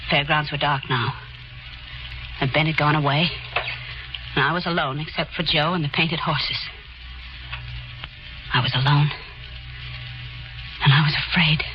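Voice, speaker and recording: A woman's voice: low-pitched, steady tone, a little slow, pauses often, noisy background. An actress playing a scared young woman narrating and acting in a 1940s radio mystery, tense and breathless. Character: frightened young woman carousel worker. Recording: muffled 1940s radio with loud music.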